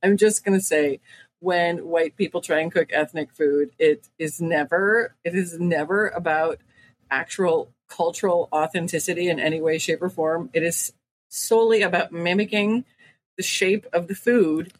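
A woman's voice: fairly low pitch, normal speed, a little hiss or hum, poor audio. Lady cohost just chatting, rambly, says like a ton. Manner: rambling, heavy use of "like". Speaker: podcast co-host. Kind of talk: casual chat.

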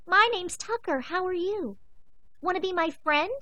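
A woman's voice: extremely high pitch, animated, normal speed, pushed and bright, no echo, pitched above the performer's own voice, exaggerated voice. A woman voicing a friendly, timid young male dog who speaks politely and stammers. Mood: eager.

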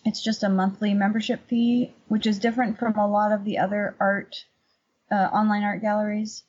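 A woman with a husky voice giving casual, conversational answers as an interview guest. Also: medium pitch, flat delivery, normal speed, a little mellow, muffled recording. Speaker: podcast guest, painter and workshop teacher.

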